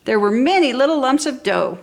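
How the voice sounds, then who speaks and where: A woman narrates a picture-book story for toddlers. Her pitch swings widely as she stresses key words with enthusiasm. The delivery is expressive and clearly aimed at small children. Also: fairly quick. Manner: enthusiastic, animated, exaggerated emphasis for young children. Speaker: storybook reader for a toddler channel.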